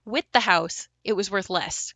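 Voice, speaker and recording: An actress plays a young woman narrating memories of a haunted house in an audio drama. Her voice is clear-edged and bright, recorded close and dry. She confides in a matter-of-fact, wry and reflective way. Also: mid-range voice, unhurried, no room sound. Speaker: narrator and lead of an audio play. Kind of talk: first-person dramatic monologue.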